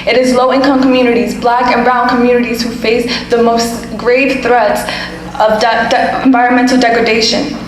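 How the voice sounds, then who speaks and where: A woman's voice: a little high, level tone, normal speed, slight background noise. A young woman, a student giving a firm, insistent prepared comment at a public hearing. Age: young adult. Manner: firm, insistent, reading a statement. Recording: echoey hall with faint ambience.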